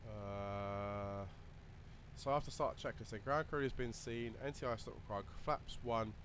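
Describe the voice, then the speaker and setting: A man with a lowish, slightly croaky voice talks casually through his simulator checklist, thinking aloud and filling gaps with ums and uhs. A steady cockpit engine drone sits clearly behind him. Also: unhurried. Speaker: flight sim video creator. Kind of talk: relaxed running commentary while flying a simulator. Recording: voice over loud simulator cockpit noise.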